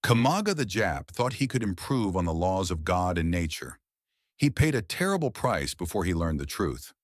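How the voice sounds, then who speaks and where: A man reads a 1920s pulp horror tale as narrator. His voice is low and moves through a wide range of intonation, in measured literary phrasing. The recording is dry and close. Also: sing-song, no echo.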